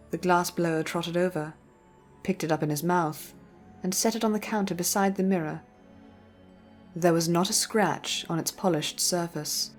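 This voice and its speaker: A woman's voice: fairly low pitch, a little mellow. A woman reading scripted storybook narration as the narrator. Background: music.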